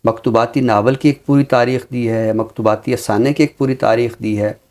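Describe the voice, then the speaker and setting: Male literary scholar, Pakistani accent, mid-pitched voice, formal and explanatory talk-show discussion. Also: steady tone, moderate pace, darkish tone.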